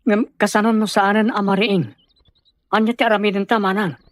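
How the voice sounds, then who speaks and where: A man's voice: sits high, little pitch movement, a little fast, fairly bright tone, no echo. A man plays a helpful young country brother in an audio drama. He sounds earnest and concerned, recorded very close and dry.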